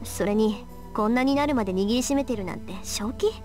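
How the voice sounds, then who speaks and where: A woman playing a bossy, sharp-tongued young female mage in an anime visual novel, brisk and assertive. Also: on the high side, soft background music, close to an everyday voice. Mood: astonished.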